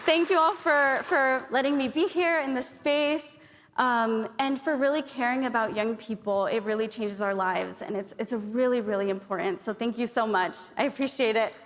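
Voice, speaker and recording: A woman's voice: on the high side, normal speed, background noise. A young woman from Arizona speaking on a conference panel, earnest and chatty, with lots of fillers and thanks. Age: young adult. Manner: earnest, grateful, chatty with many likes and fillers. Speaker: youth panelist. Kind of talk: conference speech. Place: large conference hall. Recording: long hall reverb.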